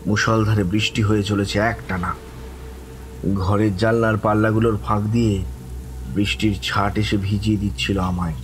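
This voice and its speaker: A man playing a terrified, distraught survivor who tells his ghost story in a halting, dramatic way. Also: on the low side, little pitch movement, soft background music, natural voice. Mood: haunted, tense. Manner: halting, dramatic, repeating words, breaking into desperate cries. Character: terrified, distraught man recounting a haunting he lived through. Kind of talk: dramatized first-person horror narration.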